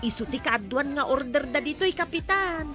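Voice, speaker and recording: A cheeky young waitress in an Ilocano radio comedy. Her high, teasing voice has an Ilocano accent and sits over clear background music. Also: animated, moderate pace. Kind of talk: Filipino radio comedy drama acting.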